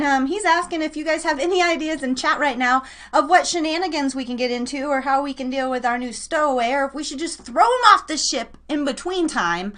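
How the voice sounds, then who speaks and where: A woman with a high voice hosts a live online radio show. She is casual and chatty, playful with her listeners, and slips into mock-dramatic asides. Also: a bit bright. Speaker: radio show and podcast host.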